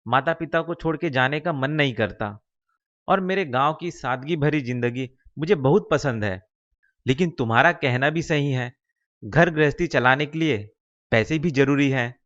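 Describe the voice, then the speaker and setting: A man's voice: mid-range voice, normal speed, fairly bright tone, lo-fi sound, close to an everyday voice. A man playing a simple, dutiful young village man in a cartoon story, mild and agreeable in the way he talks. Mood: hesitant. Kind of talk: Hindi cartoon moral-story voice acting.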